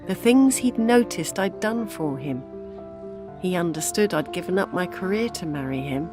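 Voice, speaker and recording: An older mother, newly widowed, performed by an actress in an audio play. Her voice moves expressively across a wide range, tender with grief and quietly meddling as a matchmaker, with music in the recording. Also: lowish voice, expressive, moderate pace, close to an everyday voice. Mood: wistful, tender. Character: grieving widowed mother, wistful, gently scheming matchmaker. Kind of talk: audio drama acting.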